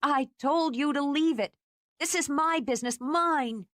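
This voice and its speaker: A woman narrator voicing an indignant, tightly wound young woman who argues sharply and flares into shouting. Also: on the high side, big pitch swings, normal speed, dry recording, clean studio sound, pitched above the performer's own voice. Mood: furious.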